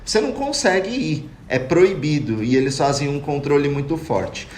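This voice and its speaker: A man's voice: on the high side, lively intonation, normal speed, darkish tone. A young Brazilian man living abroad, talking earnestly and reflectively in a casual podcast chat. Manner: earnest, reflective, addresses listeners as "cara". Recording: echoey room with faint noise.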